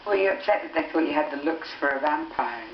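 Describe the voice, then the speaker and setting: A woman interviewer speaks in a low voice at a quick pace. She presents and asks questions. The old videotape makes her sound echoey and muffled. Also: animated, noisy background. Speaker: interviewer and narrator. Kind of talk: scripted film introduction and interview questions.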